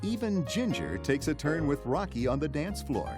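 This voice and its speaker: A man's voice: a little high, very animated, normal speed, music in the background. A man reading scripted narration for a children's read-along story.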